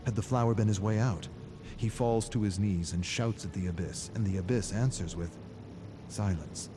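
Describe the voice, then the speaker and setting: A man giving a dramatic scripted story narration, brooding and deliberate, in short phrases with weighty pauses. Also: on the low side, background noise.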